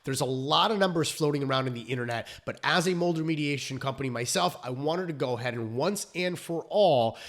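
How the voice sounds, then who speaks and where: A hoarse man who founded a restoration company, explaining his trade in a chatty vlog with fillers and restarts. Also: mid-range voice, a little fast, few pauses. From South Florida.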